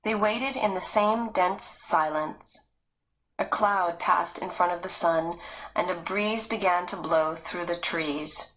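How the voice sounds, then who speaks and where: A woman's voice: lowish voice, takes it slowly, fairly bright tone, rough recording. A woman reading a story aloud as a scripted audiobook narrator.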